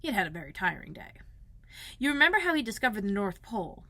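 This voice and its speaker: A woman reading a children's story aloud as a librarian, expressive, with dramatic emphasis and repetition. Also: medium pitch, fast, dry recording.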